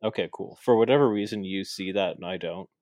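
A man's voice: low voice, a little fast, darkish tone, no echo, clean studio sound. A man hosting a podcast in a casual, rambling way with many fillers and restarts. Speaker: podcast host. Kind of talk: casual chat.

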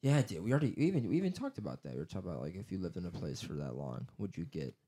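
Laughing young guy, lively voice. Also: sits low, rapid-fire, slightly rough. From United States. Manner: laughing, swearing, doing silly accent impressions. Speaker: podcast co-host. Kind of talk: casual chat.

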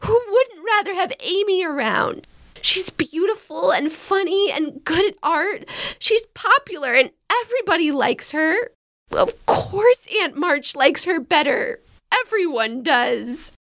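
A woman's voice: very high-pitched, animated, a little slow, fairly bright tone, exaggerated voice. A woman acting a dejected, self-critical young woman of twenty-one in a modern audio drama, dramatic and blunt. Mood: self-loathing, tearful.

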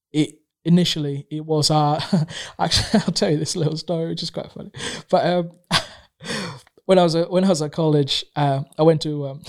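Chatty Tanzanian-raised man, fairly high voice. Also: vocal fry, a little mellow. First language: Swahili. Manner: rambling, many fillers and restarts. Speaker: guest. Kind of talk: casual conversation.

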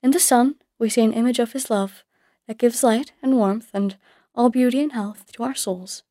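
Woman as a gentle, patient mother, higher-pitched. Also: steady tone, no room sound, clean studio sound, lightly acted. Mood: devout, calm. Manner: tender, measured, instructive. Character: gentle, patient, devout mother teaching her daughter.

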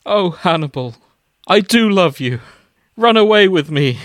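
A man's voice: sits high, animated, a little slow, fairly bright tone, no echo, voice pushed up. A man playing an emotional, lovestruck man in a hammy dramatic reading of fan fiction. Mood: rapturous. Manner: hammy, melodramatic.

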